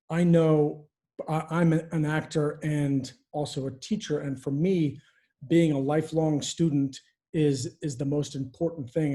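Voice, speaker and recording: A man who teaches theater, speaking thoughtfully on a video-call webinar panel, pausing and restarting often. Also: fairly high pitch, dark tone. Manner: thoughtful, hesitant, many fillers and restarts. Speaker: theater professor.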